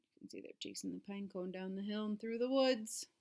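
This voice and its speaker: A woman reading a picture book aloud for young children, expressive and dramatic, with chatty asides to her listeners. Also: medium pitch, a little fast, vocal fry. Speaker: children's read-aloud presenter. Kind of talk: animated storybook read-aloud for young children.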